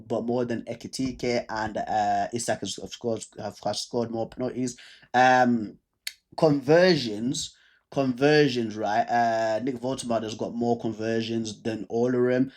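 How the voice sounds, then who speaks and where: A man's voice: medium pitch, a little mellow. A man with a stammer who repeats words and restarts, casually talking viewers through a football stats breakdown. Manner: chatty, speaks straight to viewers. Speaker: football video creator.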